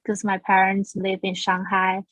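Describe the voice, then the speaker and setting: A woman's voice: mid-range voice, monotone, unhurried, fairly bright tone, no room sound. A woman from Taiwan, a school founder being interviewed, talking earnestly with frequent restarts. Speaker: guest, founder of a language school. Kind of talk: casual interview.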